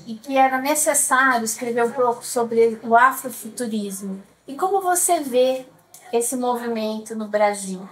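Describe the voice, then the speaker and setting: A woman's voice: a little high, a little slow, slightly rough, low-quality recording. A woman with a Brazilian accent hosting a library talk, casually introducing the event and putting questions to a guest. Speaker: library host, interviewer.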